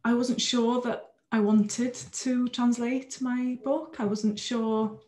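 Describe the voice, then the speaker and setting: A woman's voice: on the high side, little pitch movement, takes it slowly, darkish tone. A woman in her thirties from Wales whose first language is Welsh, speaking reflectively and hesitantly about her novel to a webcam at home. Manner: reflective, hesitant, repeats phrases. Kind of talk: to-camera talk. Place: home room.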